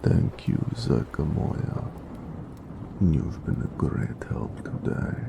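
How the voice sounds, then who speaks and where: A very deep, heavily gravelled orc blacksmith acted by a man, speaking. He is a gentle, doting lover who teases affectionately in a very slow, unhurried drawl, as in an intimate romantic audio roleplay. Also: sing-song, background noise, exaggerated voice. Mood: warm, contented. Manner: affectionate, playfully sarcastic, unhurried.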